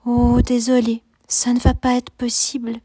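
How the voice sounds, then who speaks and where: A woman's voice: fairly high pitch, monotone, measured pace, husky, dry recording, pitched above the performer's own voice. Esmeralda, woman voicing Santa's fussing wife. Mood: hushed. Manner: warm, fussing, gets flustered. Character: kindly, tired wife of Santa Claus.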